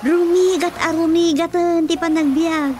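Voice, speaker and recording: A woman playing a worried, halting village mother in an Ilocano-accented radio drama. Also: extremely high pitch, fairly even intonation, warm tone, slight background noise.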